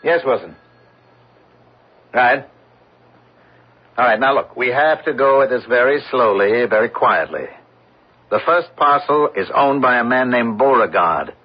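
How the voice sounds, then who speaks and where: A man playing an impatient, cold-blooded, domineering middle-aged businessman husband in a radio drama, with a rough, husky voice. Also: medium pitch, lively intonation, unhurried, long pauses. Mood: brisk, commanding. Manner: domineering, dismissive, forceful phrasing. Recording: dull lo-fi radio broadcast recording.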